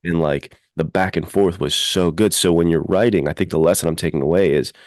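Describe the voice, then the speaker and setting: A man's voice: deep voice, brisk, a little mellow, no echo, clean studio sound. A man co-hosting a podcast in casual chat, with an informal, effusive, enthusiastic way of talking. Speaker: podcast co-host and fiction writer.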